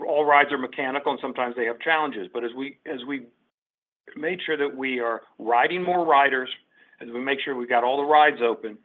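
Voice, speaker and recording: A male executive answers questions on a conference call in a medium-pitched voice. He is businesslike and often doubles back on his words. The line is muffled and narrow, like a phone. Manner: businesslike, halting restarts with repeated words. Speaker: company executive. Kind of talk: unscripted answers on an earnings call. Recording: muffled, band-limited conference call audio.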